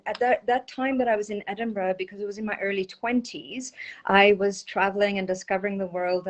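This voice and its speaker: A woman in her forties from South Africa, with a South African accent and Portuguese as her first language, chatting as an interview guest over a video call, with frequent ums. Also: mid-range voice. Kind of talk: casual interview chat.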